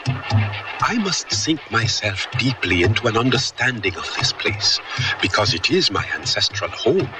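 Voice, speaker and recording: An actor playing an earnest, wonderstruck young Liberian man, speaking in a formal, reflective way in a radio drama. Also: mid-range voice. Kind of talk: 1970s radio drama acting. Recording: distant vintage radio recording, music behind.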